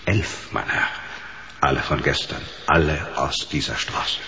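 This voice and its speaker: A very deep, slow-talking undertaker in a radio play. He is oily and formal, dripping with solemn condolence while angling for a sale, over clear background noise. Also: sharp tone, full character voice. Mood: morbidly chatty. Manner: obsequious, formal, stock phrases of condolence. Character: unctuous male undertaker, mock-solemn salesman of funerals. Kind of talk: German radio drama acting.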